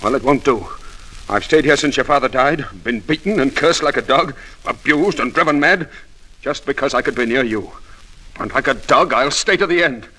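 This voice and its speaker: A man's voice: mid-range voice, moderate pace, bright, forceful tone, rough recording. A man playing a brooding, wounded, passionate young stable boy in a 1940s radio drama. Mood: bitter, pleading.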